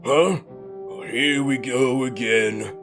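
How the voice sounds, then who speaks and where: Smug, slow-talking steamroller played by a man. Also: medium pitch, over music. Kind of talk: fan comic dub acting.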